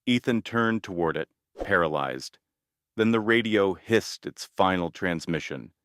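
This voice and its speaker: A man narrating a scripted scary story in a measured, ominous manner, in short deliberate phrases. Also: low-pitched, expressive, a bit bright, clear, resonant tone, dry recording, studio quality.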